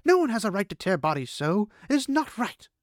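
A man's voice: on the high side, very animated, fast talker, husky, no echo, studio quality, voice pushed up, heavily acted. A man voicing a stern, short-tempered woman healer who speaks firmly and snaps. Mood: angry. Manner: firm, snapping, growling.

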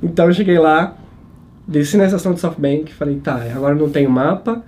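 Young man, brisk and slightly high, mellow tone, self-mocking storyteller. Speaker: travel channel host and guest storyteller. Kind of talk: casual podcast chat.

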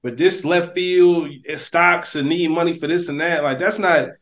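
A man's voice: a little high, fairly even intonation, moderate pace, a bit bright. A man co-hosting a casual podcast, giving blunt opinions. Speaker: podcast co-host. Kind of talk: casual chat.

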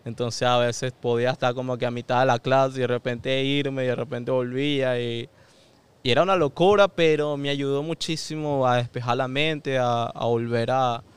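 A man's voice: medium pitch, fairly even intonation, moderate pace, smooth. A young man with a Venezuelan accent, a podcast guest thinking aloud in casual conversation, with frequent fillers and restarts.

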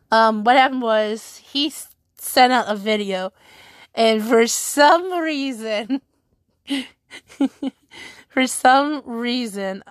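A woman talking casually to her viewers in a slightly high voice. She speaks slowly, rambles and keeps correcting herself. The sound is dry and close. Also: no echo. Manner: rambling, chatty, self-correcting.

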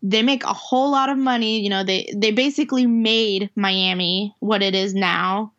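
A woman's voice: mid-range voice, fairly even intonation, moderate pace, fairly bright tone. Podcast lady just chatting, lots of likes and ums, kinda muffled audio. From half Puerto Rican, half Dominican heritage. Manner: rambling, heavy on fillers and restarts. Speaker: podcast co-host. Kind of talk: casual chat.

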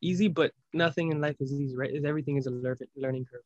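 Young man with a higher voice, muffled lo-fi. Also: fairly even intonation, rapid-fire, a little mellow, no room sound. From Spain. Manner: hesitant, restarts phrases, reflective. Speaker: guest, startup founder. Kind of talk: casual interview talk. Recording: dull lo-fi sound.

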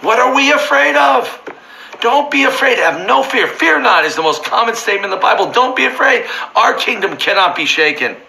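A slightly hoarse man teaching from the Bible informally, fervent and building to near-shouting emphasis. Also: very high-pitched, expressive, fairly quick, rough recording.

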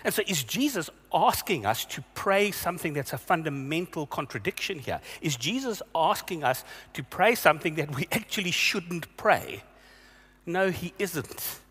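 A man's voice: a little high, expressive, moderate pace, a bit bright, husky, in a large hall. A male pastor giving a sermon, earnest and questioning, repeating himself for emphasis.

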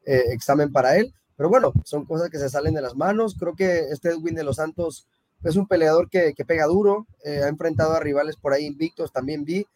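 A man from Mexico, co-hosting a casual boxing chat, giving measured opinions in an agreeable way. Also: fairly high pitch, darkish tone, slight background noise. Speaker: boxing podcast co-host.

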